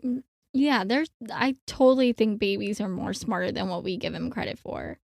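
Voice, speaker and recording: A woman's voice: mid-range voice, animated, normal speed, gravelly, no room sound. Woman in her thirties, casual co-host, talks in loose run-on stretches, close dry mic. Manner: chatty, rambling with many fillers. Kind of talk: casual chat.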